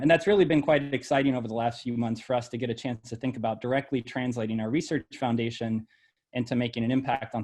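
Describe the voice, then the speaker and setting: A middle-aged male university researcher talks his listeners through a slide presentation. His pitch sits in the ordinary middle range. He speaks in a measured, explanatory way and scatters ums and uhs through his sentences. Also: darkish tone. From born in El Salvador, raised in Ohio.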